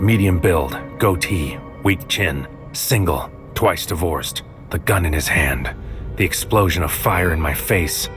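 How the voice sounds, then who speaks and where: Deep-voiced, brooding man narrating. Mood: tormented, tense. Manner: grave, deliberate, poetic delivery. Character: brooding, tormented male protagonist of sci-fi mystery. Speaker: narrator and protagonist. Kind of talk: first-person scripted audio drama narration. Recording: music behind the voice.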